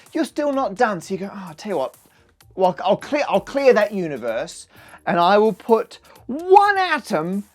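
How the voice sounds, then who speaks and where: Lively low-voiced woman explaining maths. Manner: playful, joking asides. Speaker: maths video presenter.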